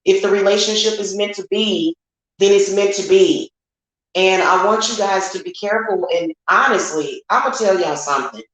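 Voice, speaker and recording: A woman's voice: mid-range voice, normal speed, fairly bright tone. An American woman speaking off the cuff to her viewers in a candid, emphatic, colloquial way, repeating her points. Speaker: online witch and spiritual reader. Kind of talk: unscripted vlog monologue to viewers. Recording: very echoey, reverberant room.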